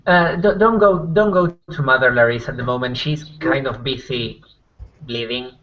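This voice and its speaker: A man playing a plain-spoken, worried werewolf packmate in a tabletop game, talking casually and haltingly. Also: medium pitch, muffled recording, natural voice. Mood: concerned. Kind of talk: in-character tabletop roleplay.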